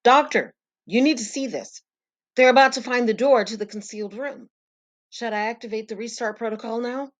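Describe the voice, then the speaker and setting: A woman's voice: fairly high pitch, moderate pace, lightly acted. A woman playing an anxious, deferential command-center underling, worried and pressing, in an audio drama.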